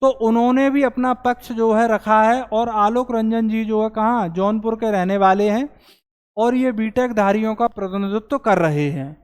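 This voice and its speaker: A man's voice: high-pitched, clear, resonant tone. Earnest male coaching teacher, reverberant room. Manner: earnest, explanatory, slows down to stress points. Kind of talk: explaining exam news to students.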